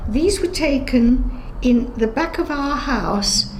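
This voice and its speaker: A woman's voice: high voice, expressive, slow pace. A woman in her eighties giving personal testimony in an interview, telling her memories conversationally and correcting herself as she goes. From England. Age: 80s. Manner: conversational storytelling with self-corrections and acted-out dialogue. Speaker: Holocaust survivor giving testimony. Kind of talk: unscripted personal reminiscence in an interview. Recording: roomy echo, clear background noise.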